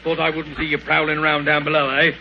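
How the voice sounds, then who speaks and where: An actor playing a menacing, gravelly man confronting an intruder in a 1940s radio drama. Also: a little high, monotone, a little fast. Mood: threatening. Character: menacing man catching an intruder red-handed. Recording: muffled, hissy 1940 radio broadcast.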